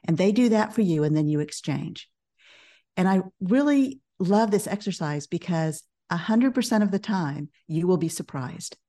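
A middle-aged woman speaking as a podcast guest, a business coach who talks in a calm, measured way. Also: lowish voice. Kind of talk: podcast interview.

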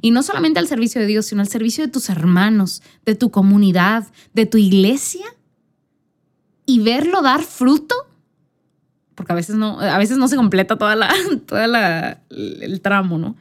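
A woman's voice: medium pitch, expressive, moderate pace. A woman podcast host giving a casual, personal faith talk, conversational and earnest, speaking directly to the listener. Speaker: podcast host and church singer.

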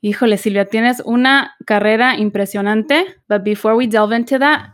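A woman's voice: fairly high pitch, brisk. A woman from Northern California hosting an interview podcast, reading the guest's introduction and asking questions in a chatty way with Spanish interjections. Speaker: podcast host. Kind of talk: interview conversation.

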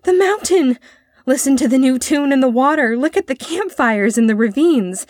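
A woman's voice: high-pitched, lively intonation, normal speed, a little mellow, no room sound, clean studio sound, voice pushed up. A woman voicing an eager, earnest young boy in a storybook, exclaiming politely and full of wonder. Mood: excited, exclaiming. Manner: breathless exclaiming, earnest and deferential. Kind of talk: storybook character reading.

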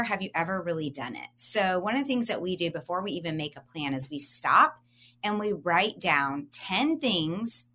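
A woman's voice: fairly low pitch, sharp tone. A woman in her forties co-hosting a podcast, coaching listeners in an upbeat, emphatic way and asking them questions. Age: 40s. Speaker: podcast co-host.

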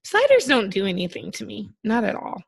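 A woman in her thirties with a slightly hoarse voice, co-hosting a podcast in a casual, joking, giggly way. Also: mid-range voice, wildly swooping pitch, a little fast. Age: 30s. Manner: giddy, giggly. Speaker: podcast co-host. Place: remote video call.

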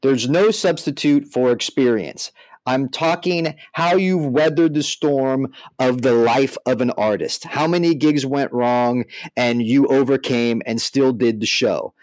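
A man co-hosting a podcast, making his points with deliberate, emphatic phrasing. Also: medium pitch, a little mellow, low-quality recording. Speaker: podcast co-host. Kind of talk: podcast discussion, mixing read-out points with casual commentary.

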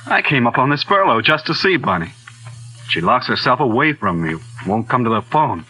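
An actor playing a bashful, lovesick young soldier in 1940s radio drama style. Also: male voice, medium pitch, sing-song, moderate pace, bright, forceful tone, low-quality recording. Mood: shy, lovesick. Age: young adult.

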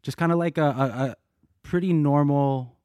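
A man's voice: fairly high pitch, steady tone, normal speed, mellow, smooth, no room sound, studio quality. A man from Colorado, a dancer and podcast guest, telling his backstory in a laid-back, rambling way and acting out quotes. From United States, Colorado. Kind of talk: casual storytelling interview.

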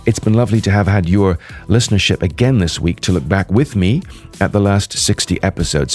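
A hoarse man hosting a podcast, wrapping up a solo episode and previewing guests, talking rather quickly with frequent restarts. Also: fairly low pitch, darkish tone. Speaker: podcast host. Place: at a sales conference.

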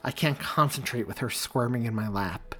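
A man voicing a tired, frazzled mother who sounds exasperated and sharp. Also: mid-range voice, moderate pace, husky.

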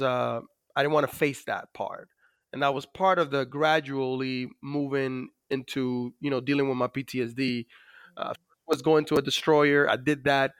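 Dominican-accented man, smooth and dry. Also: mid-range voice, a bit bright, no echo. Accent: Dominican Spanish. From Dominican Republic. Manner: halting, many fillers. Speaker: guest, Navy master chief. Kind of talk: interview storytelling.

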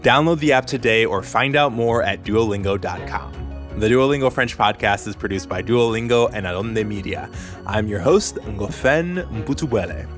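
A man narrates a podcast story in a measured, even way. His voice sits a little low. Soft music plays faintly behind him. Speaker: podcast host. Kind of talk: scripted narration.

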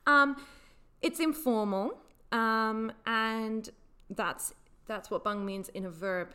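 A woman's voice: fairly high pitch, takes it slowly, bright, forceful tone. A woman co-hosting a casual chat, explaining slang with frequent laughter. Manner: laughs often, explains patiently. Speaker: podcast co-host.

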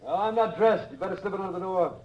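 An actor as a high-pitched, desperate cornered killer. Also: male voice, quick pace, close to an everyday voice. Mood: wary. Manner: melodramatic, agitated. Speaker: actor playing the villain. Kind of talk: 1950s radio drama acting. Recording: dull, dry old radio recording.

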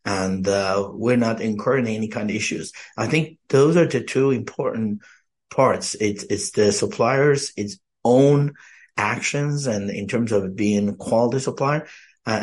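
Man, podcast guest, hoarse, husky, low pitch, earnest explaining. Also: unhurried, darkish tone. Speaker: podcast guest, product development head. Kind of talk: casual podcast chat.

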